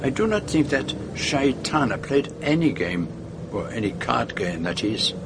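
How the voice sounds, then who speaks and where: Over clear vehicle noise comes a courteous, reflective detective with a French-speaking Belgian accent. He phrases things formally and deliberately, yet his pitch moves in a lively way. It is a radio drama performance. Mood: musing. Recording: noisy vehicle ambience behind dialogue.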